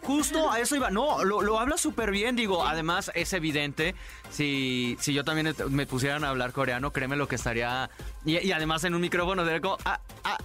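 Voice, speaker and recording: A man's voice: a little high, a little fast, bright, forceful tone, soft background music. A man hosting a radio show, enthusiastic and often stumbling over repeated words. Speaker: radio host.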